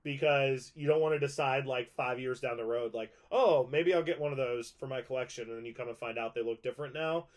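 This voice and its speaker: A man's voice: mid-range voice, fairly quick, hardly any pauses. A man presenting a collector's guide in an informal, conversational and rambling way, showing items as he talks. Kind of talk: casual product show-and-tell.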